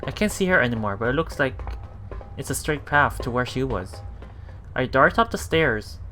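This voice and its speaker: Male let's play host, bright forceful voice, mid pitch, reads story text aloud with casual asides over music. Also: expressive, normal speed. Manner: reacts aloud, repeats phrases. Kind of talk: reading a visual novel aloud with casual commentary. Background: game music.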